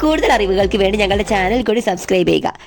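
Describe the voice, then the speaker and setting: Woman, product reviewer, scripted narration, medium pitch, music behind. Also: expressive, fast, creaky, darkish tone.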